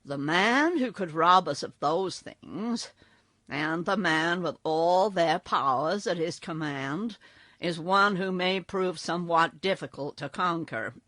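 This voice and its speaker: A woman voicing a wise, commanding old male wizard in a read-aloud storybook, measured and emphatic. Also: lowish voice, a bit bright, no room sound. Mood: planning.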